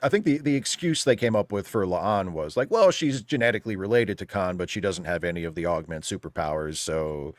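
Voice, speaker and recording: Man, lively intonation, dry sound, emphatic, wry, casual panel chat. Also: low-pitched, a little fast, hardly any pauses, no room sound. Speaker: guest panelist.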